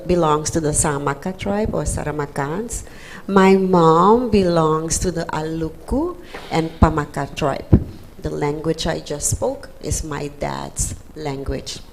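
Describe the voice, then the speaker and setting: A woman's voice: sits low, gravelly, darkish tone, a little hiss or hum, rough recording. A woman from Suriname whose first language is Dutch, speaking earnestly as a panelist introducing herself and her anti-racism work. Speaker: city anti-racism program manager.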